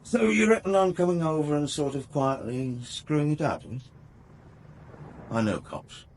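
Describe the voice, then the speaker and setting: An actor playing a big, tough, suspicious garage boss in a radio crime drama, blunt and belligerent. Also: male voice, on the high side, expressive, normal speed, darkish tone, a little hiss or hum, close to an everyday voice. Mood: belligerent, scornful.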